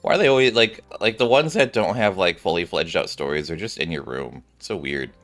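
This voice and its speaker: Male gaming streamer, deep voice, animated delivery, casual rambling reactions to what happens in play. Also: fairly quick, faint music behind. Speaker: video game streamer. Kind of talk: casual game commentary.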